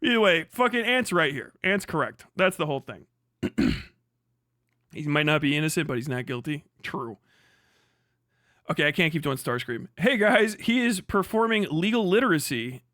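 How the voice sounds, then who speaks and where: A man hosts a live stream and rants in a heated, profane way, breaking into laughter often. His voice is high and sharp, and it sounds close and dry. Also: lively intonation, normal speed, pauses often, no echo. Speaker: streamer host.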